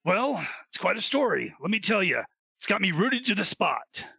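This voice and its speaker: A high, gravelly talking tree, acted by a man, chatty and folksy, full of corny puns. The bright, raspy voice comes through a muffled recording in a comic audio play. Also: big pitch swings, moderate pace, dry recording, full character voice. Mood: jokey, gossipy. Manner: folksy, jokey, pun-loving. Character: chatty punning talking tree in a magical forest. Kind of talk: comedic audio drama.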